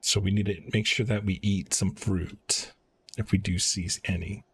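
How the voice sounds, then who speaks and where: A man with a deep, husky voice streams a video game. He talks casually to viewers, commenting on play and plans in an informal way. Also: level tone, normal speed, fairly bright tone.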